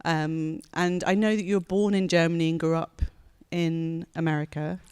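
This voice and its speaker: A woman's voice: deep voice, flat delivery, moderate pace, darkish tone, clean tone. A woman journalist interviewing a guest onstage before an audience, friendly and polite, with fillers. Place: event hall before an audience.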